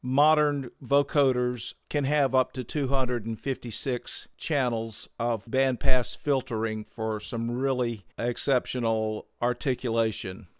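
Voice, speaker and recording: A man hosting a synthesizer tutorial, explaining gear in a measured, methodical way on a close microphone. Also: mid-range voice, fairly even intonation, smooth, no room sound. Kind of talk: explanatory product tutorial narration.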